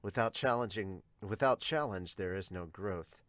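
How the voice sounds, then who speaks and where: A man voicing a brooding observer, hushed and measured, thinking aloud in a manga dub. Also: lowish voice, no room sound, voice pushed down, natural voice. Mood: brooding, reflective.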